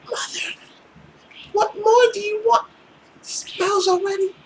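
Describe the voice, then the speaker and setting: A man's voice: extremely high pitch, fairly even intonation, slow pace, a little hiss or hum, pitched above the performer's own voice, exaggerated voice, low-quality recording. A man voicing a frightened young woman who pleads hesitantly with her mother in a visual novel. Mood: pleading.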